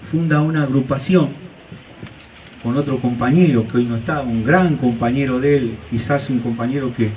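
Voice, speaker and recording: A man's voice: medium pitch, darkish tone, distant mic, muffled recording, slight background noise. A man from Santa Cruz, Argentina, with an Argentine accent, giving an earnest commemorative speech at a memorial ceremony, with frequent "eh" and "este" fillers. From Argentina, Santa Cruz province. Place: outdoor street ceremony.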